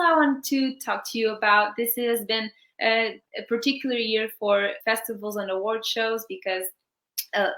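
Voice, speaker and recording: Woman interviewer, native Portuguese speaker, mid-pitched voice, hesitant conversational questions, echoey room. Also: fairly bright tone.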